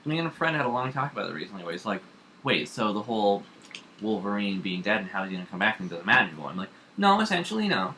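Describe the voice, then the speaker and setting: A young man with a bright, forceful voice chats casually as a co-host. He jumps in with firm opinions, and there is a faint noise in the background. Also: fairly low pitch, very animated, quick pace. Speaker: co-host, the host's son. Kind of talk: casual chat about comics. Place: kitchen at home.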